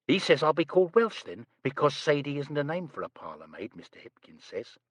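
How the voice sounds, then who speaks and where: A man's voice: on the high side, sing-song, a little fast, fairly bright tone, no echo. A male narrator voicing a nervous, chatty young English housemaid who is prim and says sir constantly. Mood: chattering.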